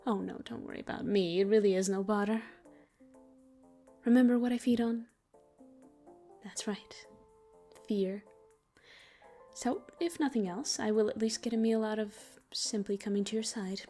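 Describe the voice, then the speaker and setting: A protective female demon, played by a woman, comforts a human in an intimate ASMR roleplay. Her voice is warm and relaxed at a middling pitch, unhurried and soothing with a teasing edge, sometimes dropping to a whisper. Music plays behind her. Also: lightly acted. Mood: caring, reassuring.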